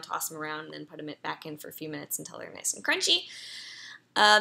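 A woman's voice: mid-range voice, creaky, pushed and bright. A young woman, a personal trainer, chatting casually in a voice-over as she walks through her online grocery order, with an upbeat manner and frequent ums and uhs.

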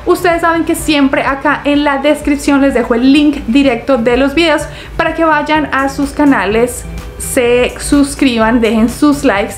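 A young Colombian woman with a Santander accent, hosting a casual reaction video from her living room and talking in a chatty, animated way with frequent laughs. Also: high voice, measured pace, faint music behind. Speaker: YouTube reactor. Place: living room, indoors, on a sofa.

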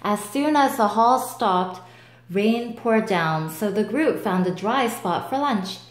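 A woman reading a children's picture book aloud, slow and expressive, giving the animals their own voices. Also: reverberant, slight background noise. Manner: slow, expressive, voicing each animal with lifts in pitch. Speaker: storybook reader. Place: table with picture book, indoors.

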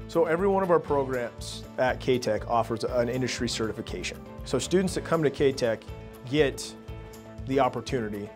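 Measured middle-aged man, school administrator. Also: mid-range voice. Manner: measured, promotional. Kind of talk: documentary interview. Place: indoor office.

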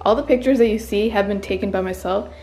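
A woman's voice: medium pitch, fast, darkish tone, soft background music. A woman, chatting informally to camera as a vlogger. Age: 20s. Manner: chatty, informal, youthful phrasing. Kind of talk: casual vlog chat to camera.

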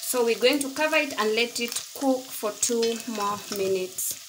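A woman's voice: fairly high pitch, normal speed, background noise. A Kenyan woman giving step-by-step cooking instructions in an explanatory tutorial style. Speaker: home cook, video host.

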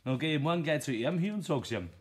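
A man's voice: a little high, lively intonation, quick pace, close to an everyday voice. A man playing a mischievous, conspiratorial pub-table tradesman in a radio play, with a broad Bavarian accent. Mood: scheming. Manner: chuckling, conspiratorial. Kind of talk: dialect radio play acting.

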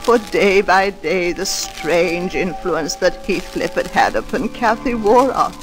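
A woman's voice: fairly low pitch, slow pace, poor audio. A woman playing a devoted old servant, solemnly narrating a tragic tale in radio drama style. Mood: solemn, reminiscing. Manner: solemn storytelling. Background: background music.

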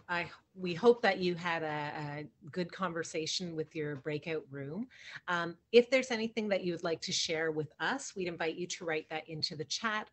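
A woman's voice: lowish voice, a bit bright. A woman in her thirties co-hosting an online discussion from a room at home, over a video call with some faint noise. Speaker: panel co-host. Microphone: video call microphone.

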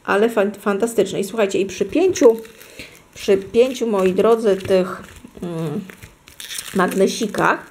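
A woman's voice: medium pitch, takes it slowly, a little mellow, slight background noise. A middle-aged woman talking casually to her viewers at home, rambling and correcting herself as she shows souvenirs. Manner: rambling, self-correcting, addresses viewers directly. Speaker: vlogger showing her fridge magnets. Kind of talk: casual chatty unboxing vlog. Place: at home, indoors at a table.

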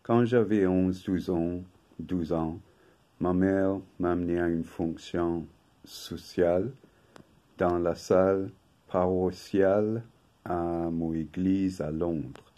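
An older man, native English speaker from England, reading a prepared talk from paper in a slow, measured way at home. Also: low-pitched, fairly even intonation, dark tone. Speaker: video host. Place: home room with bookshelf.

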